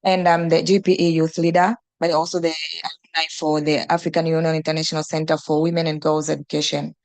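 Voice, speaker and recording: A woman's voice: deep voice, little pitch movement, a little fast, darkish tone, dry recording. A young woman from Tanzania, an NGO director and teacher, answering on a panel with many fillers and restarts.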